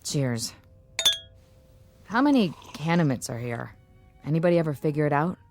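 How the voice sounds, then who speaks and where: A woman's voice: deep voice, big pitch swings, moderate pace, a little mellow, dry recording, faint music behind. An actress playing a casual office assistant chatting with her boss in a radio drama, dry and conversational. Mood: dry, musing.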